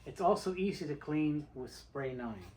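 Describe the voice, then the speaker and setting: A man's voice: on the high side, expressive, moderate pace, a little mellow, slight background noise. A man presenting an informal how-to video, explaining things step by step in a methodical, plain-spoken way. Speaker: presenter of a how-to video on restoring tape reels. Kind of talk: informal instructional demonstration.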